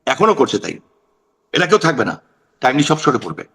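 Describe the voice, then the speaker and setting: A man's voice: medium pitch, sing-song, fairly quick, pushed and bright. A Bangladeshi man giving impassioned political talk in an interview, emphatic, asking rhetorical questions, repeating phrases and mixing in English words. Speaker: political guest on a talk show.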